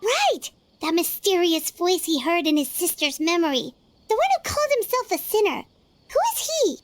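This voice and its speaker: A woman voicing a tiny, childlike fairy girl companion in a video game, squeaky, excitable and curious. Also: normal speed. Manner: childlike, inquisitive, refers to self by name. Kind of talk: scripted video game voice acting.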